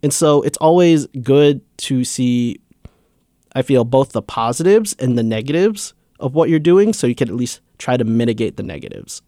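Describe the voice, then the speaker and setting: A man's voice: medium pitch, animated, moderate pace, warm tone, no room sound, studio quality. A young man, a student, answering interview questions in a casual, earnest way with many fillers. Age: young adult. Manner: earnest, filler-heavy with many likes and uhs. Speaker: student guest.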